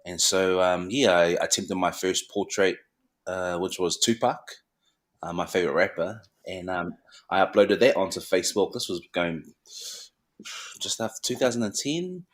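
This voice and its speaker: A man's voice: low-pitched, moderate pace, fairly bright tone. A man, a barber talking casually and humbly as a podcast guest, with frequent ums. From New Zealand. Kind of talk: casual interview chat.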